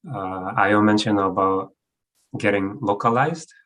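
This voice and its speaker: A man's voice: lowish voice, measured pace, a bit bright. A European man with a hard-to-place mixed English accent, speaking candidly as a guest on an online panel. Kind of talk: casual panel discussion. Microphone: video call.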